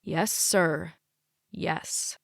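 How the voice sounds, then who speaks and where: A woman's voice: sits low, fairly even intonation, drawn-out words, vocal fry, no echo, heavily acted. Woman doing young man, defiant, sarcastic, combative, audiobook character, dry recording.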